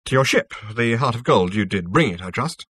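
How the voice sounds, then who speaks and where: A man's voice: mid-range voice, expressive, brisk, a bit bright, slightly rough, no echo. Man playing a smug, businesslike schemer. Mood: self-assured. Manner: businesslike, smug, hesitating with small uhs.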